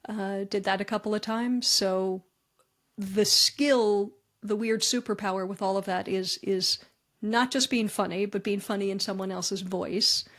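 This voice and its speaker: A woman talks through her career as a guest on a podcast. She is chatty and self-deprecating, with quick wry asides. Her pitch sits in the middle range. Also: steady tone. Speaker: guest, comedy writer and speaker. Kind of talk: conversational interview answers.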